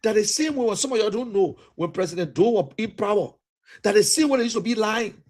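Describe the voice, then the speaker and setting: A man's voice: high-pitched, lively intonation, brisk, darkish tone. A man from Liberia with a Liberian English accent gives an impassioned political talk, emphatic and repetitive, with a preacher-like cadence.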